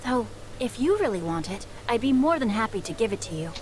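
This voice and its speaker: A woman playing a composed, gentle young scholar in an anime video game, polite and poetic. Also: on the high side, sing-song, brisk, loud music behind the voice. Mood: friendly.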